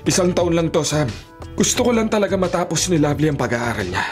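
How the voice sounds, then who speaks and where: A man's voice: a little high, sing-song, fast. An actor playing a strict middle-aged mayor and protective father, speaking firmly and with authority in a radio drama. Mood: stern. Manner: firm, authoritative. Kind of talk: Filipino radio drama acting. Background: background music.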